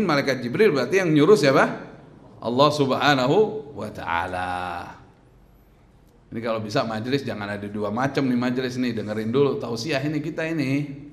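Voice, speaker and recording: A man preaching a sermon in an emphatic, colloquial way, moving between conversational asides and loud declamation. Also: mid-range voice. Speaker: Islamic preacher. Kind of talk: sermon with Arabic quotations. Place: indoor mosque lecture room. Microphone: gooseneck microphone on the desk.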